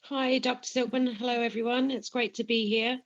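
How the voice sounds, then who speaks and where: A woman's voice: fairly high pitch, little pitch movement, a little fast, muffled recording. A woman with an English accent, a guest on a casual podcast, talking eagerly about how she got involved. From England. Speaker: guest researcher.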